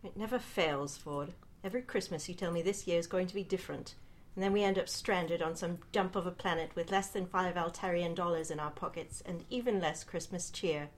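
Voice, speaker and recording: A woman's voice: fairly low pitch, brisk, few pauses, lightly acted. A woman voicing a weary, fussy, put-upon grown man in a read-aloud story, grumbling and prim. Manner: grumbling and prim, easily flustered. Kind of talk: storybook reading.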